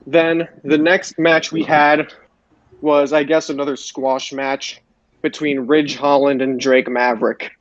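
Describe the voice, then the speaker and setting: A man hosting a podcast, giving a casual wrestling recap in a halting way, often repeating phrases. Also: a little high, little pitch movement. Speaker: podcast host. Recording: roomy, dull lo-fi voice chat.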